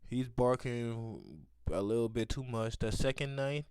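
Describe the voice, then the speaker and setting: A man's voice: medium pitch, animated, measured pace, a little mellow, clean tone, no room sound, clean studio sound. A man giving casual, slangy live reactions as a video host, with short interjections and asides.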